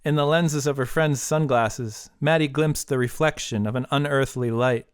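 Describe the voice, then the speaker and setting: A man's voice: mid-range voice, moderate pace, clean tone, no room sound, studio quality. A man reading a short story as scripted audiobook narration.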